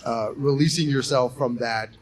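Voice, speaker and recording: A man in his forties co-hosting a live podcast on a college campus, upbeat and laughing often. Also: medium pitch. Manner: upbeat, laughs often, rushes through explanations. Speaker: podcast co-host and game host. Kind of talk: casual live podcast chat.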